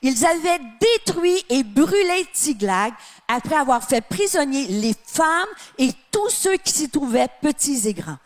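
High-voiced Québécois woman preaching. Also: sing-song. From Canada. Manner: emphatic, drawn-out phrases with sudden drops to a soft tone. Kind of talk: sermon. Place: church with live and online audience.

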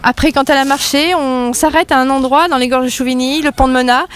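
A woman's voice: sits high, steady tone, fast talker. A woman in her fifties, interviewed on local radio, talking eagerly and rambling with many fillers. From France. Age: 50s. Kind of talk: casual interview.

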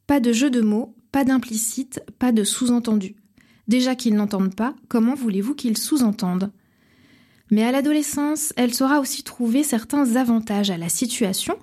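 A woman radio host reads a scripted book review in calm, measured phrases. Her voice sits a touch high, with a mellow, breathy softness. The tone is steady and unhurried throughout.

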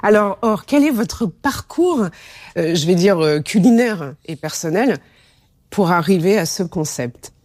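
Female interviewer, lower register, informal and digressive, recorded dry and close. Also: expressive, unhurried, darkish tone, no room sound.

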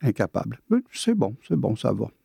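A man in his late sixties from Quebec, with a Québécois French accent, telling his own life story casually with many pauses and fillers. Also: medium pitch, big pitch swings, creaky, mellow, husky, no room sound, clean studio sound. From Quebec, Canada. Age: 60s. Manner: hesitant, many fillers and restarts. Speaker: interviewee.

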